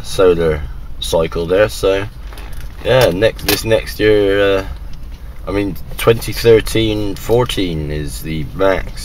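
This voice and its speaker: A man's voice: deep voice, a little hiss or hum, poor audio. A man making casual amateur radio contacts, working down a list in radio jargon. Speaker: radio operator, video maker.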